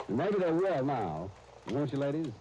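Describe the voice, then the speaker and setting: A man working as a 1930s radio announcer, narrating and pitching in a genial, polished style. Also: big pitch swings, normal speed, warm tone, a little hiss or hum, low-quality recording. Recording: 1939 radio broadcast with music. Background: music.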